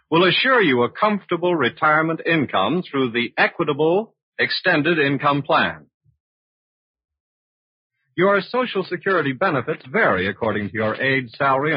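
A man's voice: on the high side, breathy edge, dry recording. A man announcing and selling in 1940s radio style, with an emphatic, polished salesman's delivery. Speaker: radio announcer and sponsor spokesman. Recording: muffled old radio broadcast recording.

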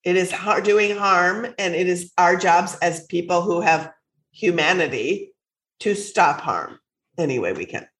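Stuffy, low-voiced woman, blunt and profane. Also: expressive, unhurried, fairly bright tone, roomy sound, low-quality recording. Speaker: podcast co-host. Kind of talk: casual chat.

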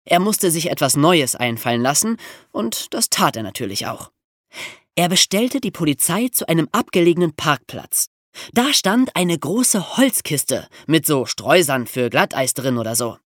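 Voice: medium pitch, sing-song, moderate pace, no echo, clean studio sound. A podcast host telling true crime stories for young listeners in an amused, colloquial way.

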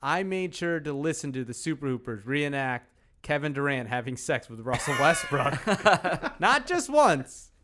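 A man's voice: on the high side, big pitch swings, measured pace, background noise. A man co-hosting a podcast in casual, joking banter, riffing playfully. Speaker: podcast co-host.

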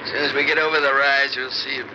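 An actor playing a gruff, excitable working-class truck driver in a clipped 1940s radio style. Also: male voice, fast, highs cut off, lightly acted. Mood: wary. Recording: noisy old 1940s radio, vehicle rumble.

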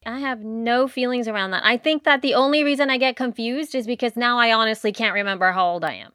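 A woman's voice: on the high side, fast, sharp tone, no echo, clean studio sound. A woman in her forties co-hosting a casual, reflective chat, emphatic and often searching for her words. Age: 40s. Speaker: podcast co-host.

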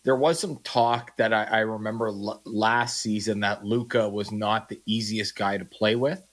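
A man's voice: lowish voice, fairly even intonation, normal speed. A man co-hosting a sports radio show, rambling and restarting sentences as he thinks aloud. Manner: rambling, restarts sentences, acts out quoted reactions.

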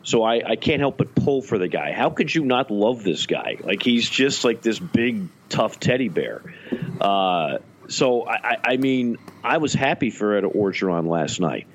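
A man's voice: fairly low pitch, sing-song, measured pace, a little hiss or hum. A man co-hosting a podcast, chatting casually and animatedly with frequent restarts. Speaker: podcast co-host. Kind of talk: casual sports talk.

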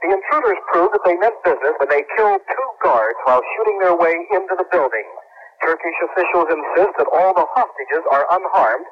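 A man delivering a scripted field report as a radio news correspondent. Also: fairly high pitch. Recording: dull old radio broadcast feed.

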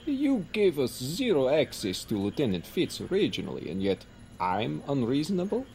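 An actor playing an imperious, slippery professor in an audio drama, smug and demanding. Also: male voice, mid-range voice, wildly swooping pitch, normal speed, vocal fry, noisy background. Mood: smooth, smug. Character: imperious, slippery male professor commanding a military operation.

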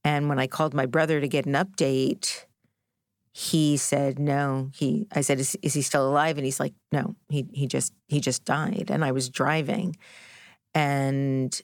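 Female interview guest, middle-aged, deep for a woman, introspective and candid, very dry studio-close sound. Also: level tone, measured pace, no room sound. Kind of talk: personal, reflective storytelling in an interview.

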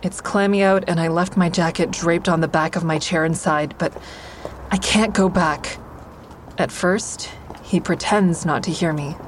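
Actress doing a thoughtful woman telling her own story in an audio drama, lowish voice, confiding, noise behind it. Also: fairly even intonation, a little slow, close to an everyday voice. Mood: observant. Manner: confiding, reflective. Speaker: narrator and lead actor. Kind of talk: first-person audio drama narration.

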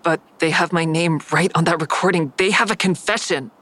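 A woman's voice: on the low side, brisk, a bit bright. A woman playing a troubled, defiant suspect who narrates her own story in an audio drama, pointed and anxious. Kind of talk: first-person audio drama narration and dialogue. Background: music.

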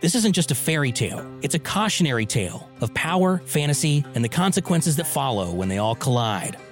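A man's voice: on the high side, sing-song, fairly quick, faint music behind. A man reading a podcast promo in a dramatic, teasing way. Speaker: promo announcer.

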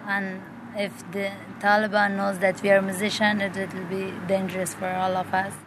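A woman in her twenties with an Afghan accent, answering in halting, careful second-language English over noisy ambience. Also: little pitch movement. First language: not English. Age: 20s. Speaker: musician and music teacher, interviewee. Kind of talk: spoken interview answers. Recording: noisy ambience with vehicle rumble.

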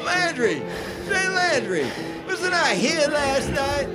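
A man's voice: extremely high pitch, very animated, takes it slowly, over loud music, exaggerated voice, low-quality recording. An actor playing a nervous, defensive barfly man, flustered and insistent, in an audio drama.

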